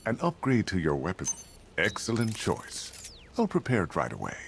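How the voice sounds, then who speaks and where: A wry, seasoned tech supplier in a video game. His deep, gravelly voice is measured and dryly amused, with lively inflection. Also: slight background noise, heavily acted. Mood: wry helpful.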